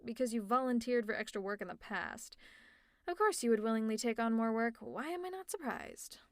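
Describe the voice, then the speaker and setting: A woman voices a sarcastic supervillain who cares more than she admits. Her voice sits a little high, and her lines are dry and close to the mic. She teases and sighs in a casual audio roleplay. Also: expressive, a little fast, dry recording. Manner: teasing, exasperated, with sighs and laughs. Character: sarcastic caring female supervillain. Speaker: ASMR roleplay narrator.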